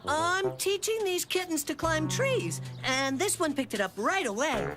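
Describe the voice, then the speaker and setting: A woman's voice: high voice, lively intonation, measured pace, music in the background. A woman voicing a cocky, scheming little boy in a children's cartoon, with a cajoling salesman's manner.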